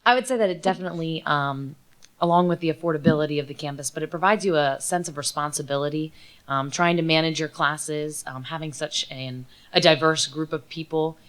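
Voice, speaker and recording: A young woman, a student on a panel, talking quickly with many ums. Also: sits low. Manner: quick, filler-heavy. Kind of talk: panel talk.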